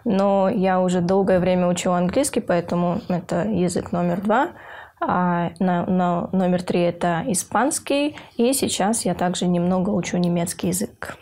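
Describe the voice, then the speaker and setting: A woman's voice: fairly low pitch, normal speed, dark tone. Young woman student, earnest and hesitant. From Kyrgyzstan. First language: Russian. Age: young adult. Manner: earnest, with hesitant filler sounds and restarts. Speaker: university student. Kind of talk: interview for a university news feature.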